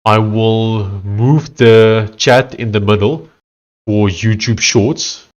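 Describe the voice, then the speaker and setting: A man with a heavy Afrikaans accent talks casually to his livestream audience. His voice sits a little low, and he reads chat and on-screen text aloud in a halting, conversational way. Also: steady tone. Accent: South African, Afrikaans. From South Africa. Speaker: livestreaming coder.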